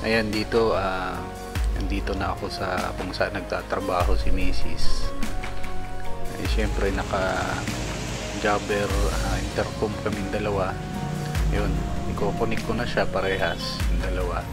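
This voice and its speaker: A man's voice: sits low, flat delivery, unhurried, long pauses. A man with a Filipino accent narrating a casual product demo as a motorcycle vlogger, informal and explanatory. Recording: music playing behind the voice. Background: loud music.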